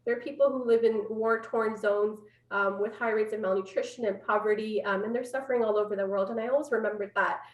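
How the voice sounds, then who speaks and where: A woman's voice: medium pitch, fairly even intonation, fast, hardly any pauses, dull audio. A woman in her thirties with a Canadian accent, explaining her work conversationally as an interview guest over a video call from home. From Canada, born in Afghanistan. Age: 30s. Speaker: interview guest, public health scientist. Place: room at home. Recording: roomy, distant video-call microphone.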